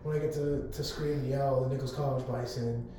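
A young man with a hoarse voice talks eagerly and loosely, piling up fillers and restarts. His voice sits at an average pitch and bounces around a strongly echoing room. A faint hum runs underneath. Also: fairly even intonation, a little fast, warm tone. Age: young adult. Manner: eager, rambling, filler-heavy. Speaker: college public address announcer. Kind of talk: casual interview answers. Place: room on a college campus.

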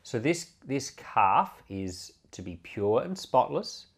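A man speaking as a pastor, reading and explaining scripture in measured, emphatic phrases. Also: medium pitch, sing-song, slightly rough. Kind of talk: Bible reading with devotional commentary.

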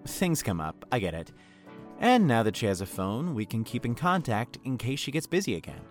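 A man's voice: medium pitch, big pitch swings, normal speed, soft background music, natural voice. An actor playing an earnest, lovestruck young man in an audio drama, a little hesitant and awkward. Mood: hopeful, unsure. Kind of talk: naturalistic audio drama acting.

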